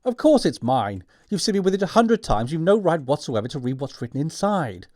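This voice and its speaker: A young upper-class English gentleman in a stage comedy. He sounds proper and easily flustered, his slightly high voice rising and falling emphatically with indignation. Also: big pitch swings, a little fast. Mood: flustered, indignant. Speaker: actor in an audiobook production.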